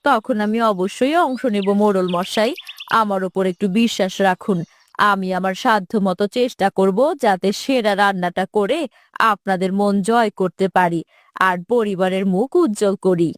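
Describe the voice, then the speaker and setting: A woman's voice: medium pitch, moderate pace, a little hiss or hum, natural voice. A woman playing a humble, earnest village wife and mother in a cartoon fairy tale.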